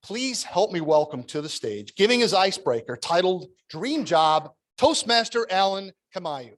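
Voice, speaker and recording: A man, speaking a little higher than most men, hosts a club meeting. He introduces the first speaker with eager enthusiasm and a formal welcome. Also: big pitch swings, moderate pace.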